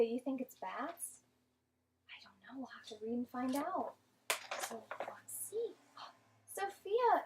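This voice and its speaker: A woman teacher giving an interactive storybook read-aloud to young children, expressive and patient, often asking and explaining. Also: sits high, a little hiss or hum.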